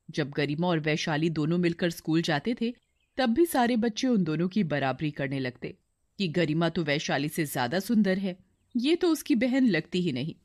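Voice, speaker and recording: A woman's voice: lowish voice, a little mellow. A woman reading scripted narration as the storyteller of a moral tale.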